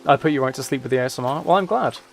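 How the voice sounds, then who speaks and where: A man's voice: medium pitch, racing, vocal fry, faint music behind. A man chats casually with viewers as he plays a game, rambling and musing aloud. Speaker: live streamer. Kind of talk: casual chat with viewers while gaming.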